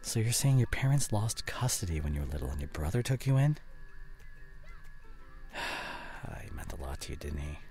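A young man playing an anxious, regretful boyfriend in an intimate audio roleplay, speaking haltingly with sighs and whispered words. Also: sits low, sing-song, dark tone, soft background music. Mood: tender concern. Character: regretful, anxious boyfriend tenderly consoling his girlfriend.

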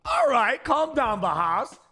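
An excitable young man talks in casual slang on a stream. His voice is very high and lively, with swearing thrown in, recorded close up over faint music. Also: a little slow. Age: young adult. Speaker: streamer reacting to football clips. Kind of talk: casual live-stream reaction. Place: small indoor streaming room. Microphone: close microphone on boom arm, with headset.

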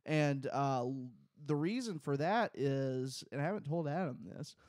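Casual podcast cohost, slightly high voice, crude jokes, dry close mic. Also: male voice, animated, unhurried, darkish tone, no echo. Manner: rambling, crude jokes, many fillers. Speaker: podcast co-host. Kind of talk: casual chat. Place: small home room. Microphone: close studio mic on boom, headphones.

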